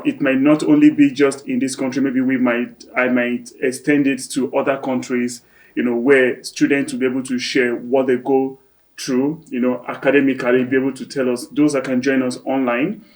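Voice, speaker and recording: A man hosting a student talk show in a studio, introducing guests and rambling loosely about the series. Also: mid-range voice. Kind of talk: casual studio interview.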